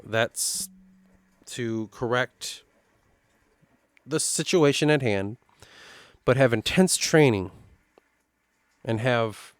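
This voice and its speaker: A man with a Midwestern accent reading through his own fantasy lore notes and thinking aloud, halting and restarting as he goes. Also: on the low side, clean tone, no echo. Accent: Midwestern American. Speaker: worldbuilding writer.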